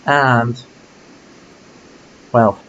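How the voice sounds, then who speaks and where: A man's voice: medium pitch, fairly even intonation, extremely slow, a bit bright, dull audio, slight background noise. A man streaming a game, musing aloud casually about his choices as he plays. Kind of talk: let's play commentary.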